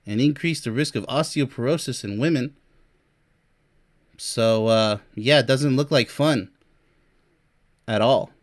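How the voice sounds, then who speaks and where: A man in his early forties giving a blunt, boastful monologue with sarcastic asides and short laughs, reading points off a list. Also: mid-range voice. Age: 40s. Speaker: relationship coach and vlogger. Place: Europe.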